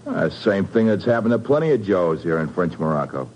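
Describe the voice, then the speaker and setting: American construction boss, radio drama, low, slangy, defensive, hissy recording. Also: male voice, sing-song, brisk, darkish tone. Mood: rueful, casual. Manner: slangy, tough regular-guy talk. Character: slangy, defensive American construction supervisor, rueful but loyal. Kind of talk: 1950s radio drama acting. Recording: noisy old radio recording.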